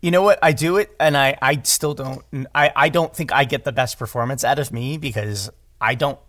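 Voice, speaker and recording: A man chatting casually about creative work, with animated, self-deprecating asides. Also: mid-range voice, normal speed, no room sound, clean studio sound. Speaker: podcast guest, audio drama writer and director. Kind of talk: casual conversational discussion.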